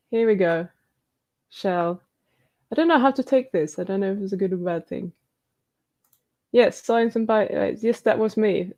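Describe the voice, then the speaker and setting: A woman's voice: lowish voice, creaky, warm tone. A woman hosting a live stream, reading out viewer questions and comments and answering them in a chatty, casual way. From New Zealand.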